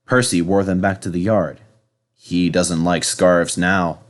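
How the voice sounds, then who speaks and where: A man reading a children's story aloud in an animated, scripted narration style. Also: low voice, measured pace. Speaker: storybook reader.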